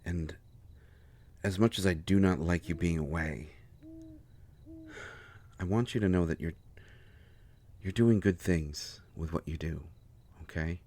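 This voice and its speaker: A man playing a devoted, anxious healer in an intimate roleplay audio drama, speaking earnestly and haltingly to a partner. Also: deep voice, darkish tone. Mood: tender, reassuring.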